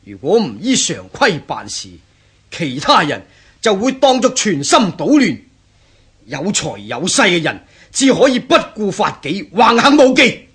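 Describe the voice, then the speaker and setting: An actor playing a defiant, bitter bandit chief in a radio drama, with a husky voice and a Hong Kong Cantonese accent. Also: male voice, high-pitched, huge pitch swings, measured pace, fairly bright tone, heavily acted. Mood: bitter, cynical. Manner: indignant, scoffing, rhetorical.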